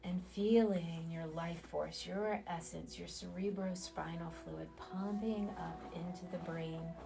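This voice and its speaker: A woman guides a meditation in a low voice with a gravelly fry at the edges. She speaks very slowly and soothingly, stretching her phrases and leaving long pauses between them. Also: fairly even intonation. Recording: loud music under the voice. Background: music.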